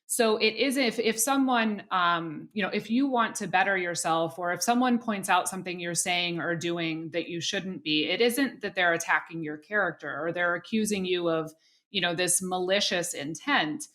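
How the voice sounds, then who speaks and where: A woman hosting an interview podcast, her voice a little low and notably bright. She reasons aloud thoughtfully, leaning on "you know" and restarting phrases as she goes. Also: moderate pace. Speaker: podcast host.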